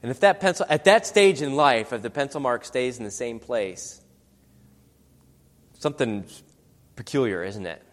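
A man's voice: medium pitch, animated, normal speed, long pauses. A man giving a conversational sermon. He asks his listeners many rhetorical questions. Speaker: preacher. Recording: long hall reverb.

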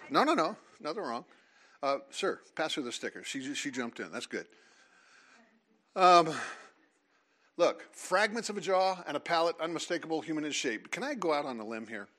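A man leading a seminar before a live audience, talking in an animated, teasing way and calling on listeners. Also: a little high, normal speed. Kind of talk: lively creationist talk with audience.

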